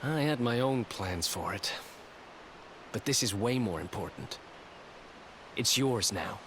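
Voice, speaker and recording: An actor playing a brisk, self-assured young rogue in a video game, talking casually. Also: male voice, on the low side, animated, a bit bright, a little hiss or hum. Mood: pleased, confident. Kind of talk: video game cutscene acting.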